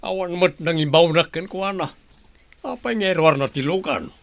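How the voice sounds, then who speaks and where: A man's voice: on the high side, normal speed, creaky. A man playing a kindly, hopeful, inquisitive old grandfather in an Ilocano comedy radio drama, with an Ilocano accent. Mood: puzzled. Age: older.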